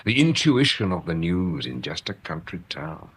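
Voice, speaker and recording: Radio drama detective, male: formal, drily witty, shrewd, low husky voice. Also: sing-song, fairly bright tone, exaggerated voice. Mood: intent, measured. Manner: formal, measured, drily understated. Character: courteous, shrewd, dry-witted visiting police detective.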